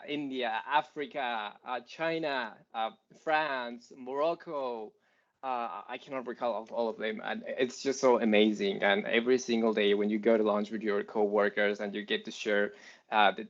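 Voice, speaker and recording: A man's voice: medium pitch, highs cut off. A man from Mexico City with a Mexican accent, a panelist talking casually over a video call, rambling with many fillers and restarts. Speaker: panelist, software engineer.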